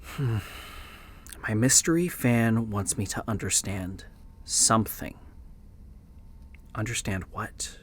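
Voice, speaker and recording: A man's voice: on the low side, takes it slowly, long pauses, slight background noise. A man playing a rambling, bookish podcast host who researches occult books, speaking naturally and hesitantly in an audio drama. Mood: puzzled, musing. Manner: hesitant, muttering, explanatory.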